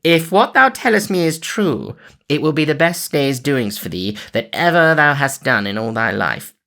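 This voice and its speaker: A man's voice: on the low side, expressive, moderate pace, sharp tone. A man voicing a scheming, gloating sheriff who raises his voice to bark orders.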